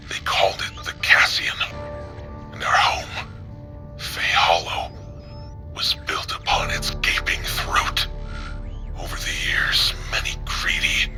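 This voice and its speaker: Whispery, very deep sinister killer. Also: male voice. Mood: ominous storytelling. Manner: whispery, drawn-out, gloating. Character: menacing, theatrical male serial killer taunting through storybook narration. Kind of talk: sinister fairy-tale storytelling in audio drama.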